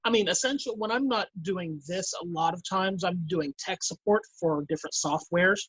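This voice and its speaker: A woman chatting casually on a voice actors' panel in an animated way. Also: on the low side, moderate pace, slightly rough, no echo, poor audio.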